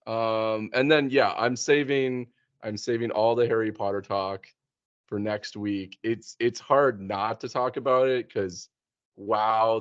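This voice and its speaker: A man's voice: lowish voice, no room sound, dull audio. A man chatting casually as a podcast host, in an informal, slangy way. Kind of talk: casual chat.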